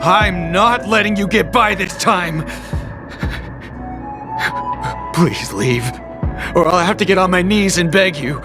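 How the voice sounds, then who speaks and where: A man's voice: sits high, normal speed, lightly acted. A man voicing a weary, flustered security underling in an anime game, stubborn but pleading in a theatrical way. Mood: exasperated. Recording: loud game music behind. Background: loud game music.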